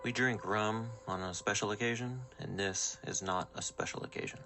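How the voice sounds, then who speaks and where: A man playing a gruff, guarded spaceship captain in a sci-fi radio drama, blunt and suspicious. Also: fairly low pitch, steady tone, normal speed, over music, close to an everyday voice. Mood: wry, guarded.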